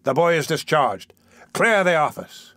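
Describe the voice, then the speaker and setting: A man performs a pompous, bullying magistrate from a classic storybook. He barks his orders with irritable contempt and pushes his voice up in pitch, in a very dry recording. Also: animated, a little fast, fairly bright tone, no echo. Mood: stern, contemptuous. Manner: peremptory, barking, contemptuous.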